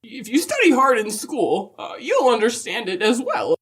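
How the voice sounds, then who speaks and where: Woman playing animated, folksy, genteel Mrs. Claus. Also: a little high, moderate pace. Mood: matter-of-fact. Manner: genteel, dithering, old-fashioned phrasing. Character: kindly, prim, folksy Mrs. Claus from the North Pole. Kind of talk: in-character holiday interview.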